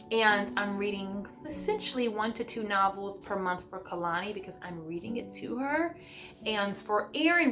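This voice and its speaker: A woman with a fairly high voice speaks at a slow, unhurried pace over soft music. She comes across as an enthusiastic homeschool mom, moving between casual vlog chat and reading aloud. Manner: enthusiastic, chatty. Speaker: homeschool mom sharing book picks.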